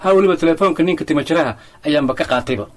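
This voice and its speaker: A man acts out a talkative Somali grandfather in a radio drama. He speaks very quickly in a slightly high voice, agreeable and conversational throughout. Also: close to an everyday voice. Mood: defensive. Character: chatty, agreeable older grandfather wanting a haircut.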